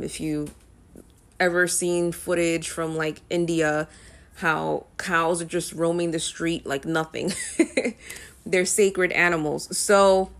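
A young woman talks casually to her viewers in a lowish voice. She rambles and pads her sentences with ums and uhs, in a relaxed, unscripted vlog style. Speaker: music vlogger. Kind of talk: casual talk to camera.

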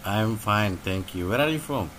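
Low-pitched Indonesian man in his thirties. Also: animated, normal speed, a bit bright. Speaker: English learner in conversation practice. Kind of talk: casual chat. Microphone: online voice call. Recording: noisy online call.

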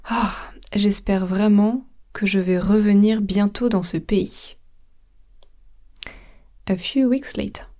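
A French woman gives a podcast language lesson in an unhurried, deliberate way, spelling out words and slipping into English to explain them. Her voice is mellow and soft-edged, sitting at an ordinary middle pitch, and she lets each phrase land slowly. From France. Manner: slow, careful explanation mixing French and English. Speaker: French teacher.

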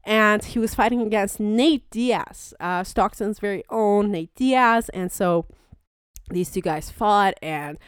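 A woman's voice: medium pitch, slow, clear, resonant tone, dry recording, studio quality. A woman hosting a sports show, giving chatty, enthusiastic, colloquial commentary on fights in a casual style. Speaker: sports podcast host.

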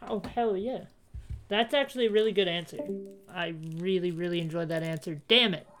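A man's voice: high-pitched, fairly quick, fairly bright tone, faint music behind. A man co-hosting a podcast, chatting in a casual, slangy way. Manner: informal, slangy. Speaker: podcast co-host. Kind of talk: casual chat.

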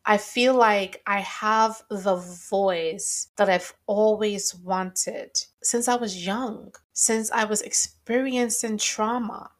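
A woman's voice: medium pitch, steady tone, slow, few pauses. A woman hosting her own podcast, talking thoughtfully and earnestly in a reflective solo monologue with a slight stammer. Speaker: podcast host.

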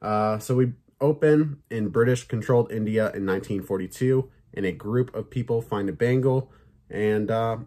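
Male podcast host, lowish pitch, slightly raspy and sore, casual and chatty, swears freely. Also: moderate pace, mellow. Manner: loose and chatty, swears, many fillers. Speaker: podcast host reviewing films and shows. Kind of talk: casual review chat.

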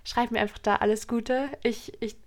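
A woman's voice: a little high, level tone, normal speed. Hesitant woman in her twenties, chatting. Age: 20s. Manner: hesitant, chatty, self-mocking. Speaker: podcast co-host. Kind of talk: casual chat.

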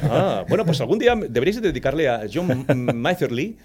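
Passionate rambling guest. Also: male voice, fairly high pitch, animated, fairly quick, a little mellow, husky. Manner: passionate, rambling, lots of fillers. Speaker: cybersecurity guest. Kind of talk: casual interview talk.

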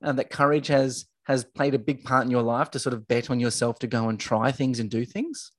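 A man hosting a podcast, enthusiastic and halting, with many fillers. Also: mid-range voice, steady tone, no echo. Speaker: podcast host. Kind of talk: conversational interview.

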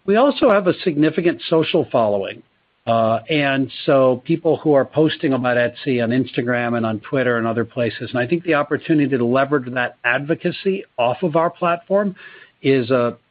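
A man speaking as a company executive answering questions on an earnings call, in a measured way with repeated words. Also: medium pitch, lively intonation, no room sound. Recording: dull, dry conference call audio.

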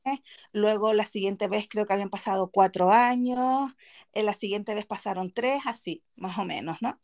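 A woman's voice: medium pitch, normal speed, a little mellow. A woman from Chile with a Canary Islands Spanish accent, hosting a casual podcast chat, chatty and giggly. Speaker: podcast host.

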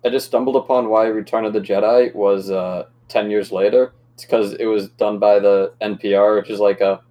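Lowish, mellow man in casual chat. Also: level tone, normal speed. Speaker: podcast co-host.

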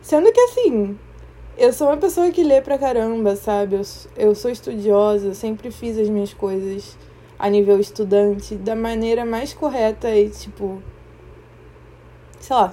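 A young woman with a Brazilian Portuguese accent, hosting a podcast in a reflective personal monologue, thoughtful and often pausing to search for the right word. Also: mid-range voice, dark tone. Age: young adult. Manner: thoughtful, searching for words, pauses and restarts. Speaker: podcast host.

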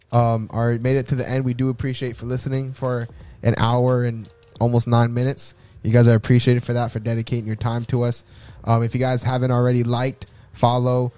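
A man co-hosting a podcast in casual chat, speaking in a monotone with little emotion. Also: mid-range voice, clean tone, dry recording. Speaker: podcast co-host.

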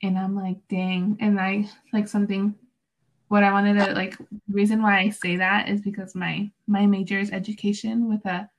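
A woman's voice: mid-range voice, little pitch movement, normal speed, highs cut off. A young woman student with Spanish as her first language speaks on a panel in a mid-range voice. She talks reflectively, full of fillers and restarts. It sounds casual and unscripted. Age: young adult.

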